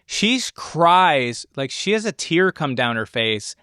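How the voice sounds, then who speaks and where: A man's voice: a little high, big pitch swings, slow pace, pushed and bright, smooth, dry recording, clean studio sound. A man co-hosting a podcast, talking through a film in a casual, enthusiastic and rambling way. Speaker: podcast co-host discussing a film.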